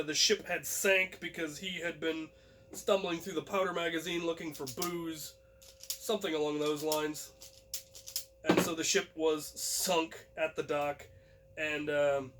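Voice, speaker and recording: Higher-voiced man telling a casual story. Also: a bit bright, slight background noise. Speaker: host and storyteller. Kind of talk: casual first-person storytelling to viewers.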